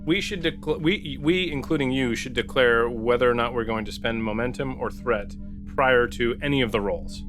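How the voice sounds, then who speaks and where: A man with a mid-range voice plays a tabletop roleplaying game. His casual chat is questioning and a bit argumentative. Music is clearly audible behind him. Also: mid-range voice, moderate pace. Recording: background music under the voice.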